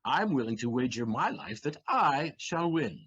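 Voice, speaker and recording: A man playing an animated, sardonic, swaggering servant. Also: mid-range voice, normal speed, breathy edge, dull audio. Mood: defiant. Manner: declamatory, mocking, dramatic emphasis. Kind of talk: theatrical stage acting in an audio play.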